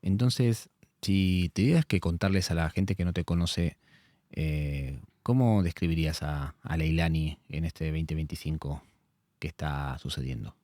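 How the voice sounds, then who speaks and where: Man, podcast host, very low voice, dark tone, dry close mic. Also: measured pace, no room sound. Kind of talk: conversational interview.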